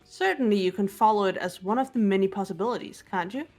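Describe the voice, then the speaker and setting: Cool analytical teen girl sleuth, woman voicing, calm, measured, mid pitch. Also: soft background music, lightly acted. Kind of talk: amateur voiced game playthrough.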